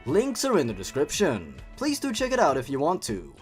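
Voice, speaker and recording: A man's voice: fairly high pitch, wildly swooping pitch, normal speed, soft background music. A man hosting with scripted narration and commentary, theatrical and sarcastic in manner. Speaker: YouTube host reviewing horror stories.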